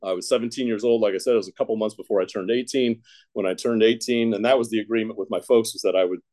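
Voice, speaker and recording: A man with a somewhat low voice, from Ohio, shares his recovery story in a casual, meandering way. He piles on fillers and restarts and turns his own mistakes into dry, self-deprecating jokes. It sounds like an unscripted talk to a group. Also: steady tone, fast talker, a little mellow. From Ohio, United States. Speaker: speaker sharing a recovery story.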